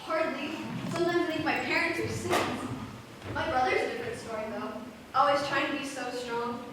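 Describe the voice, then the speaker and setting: A woman's voice: high voice, fairly even intonation, brisk, lightly acted. A young female student actor playing a sweet, candid girl who blames herself, in a school stage play recorded in an echoey hall. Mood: playful. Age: teens or young adult. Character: sweet, candid young woman, self-blaming beneath cheerfulness. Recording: echoey noisy hall from far off.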